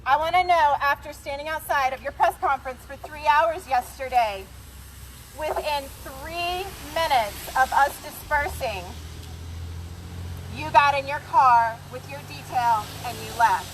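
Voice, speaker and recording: A woman's voice: sits high, measured pace. A woman from North Carolina giving an impassioned, accusatory protest speech through a bullhorn outdoors. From North Carolina, United States. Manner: insistent, accusatory. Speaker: protest speaker. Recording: noisy outdoor bullhorn recording.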